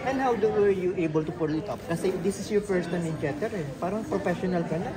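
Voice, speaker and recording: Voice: mid-range voice, fast talker. Chatty Filipino reporter in a noisy crowd. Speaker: entertainment reporter asking questions. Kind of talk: casual group press interview. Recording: very loud crowd noise behind.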